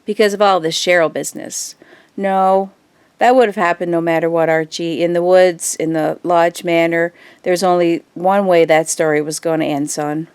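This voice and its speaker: A woman playing a gentle, reassuring middle-aged mother of a teenage son in an audio drama, speaking tenderly. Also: fairly low pitch, fairly even intonation, moderate pace, clean tone, dry recording, natural voice.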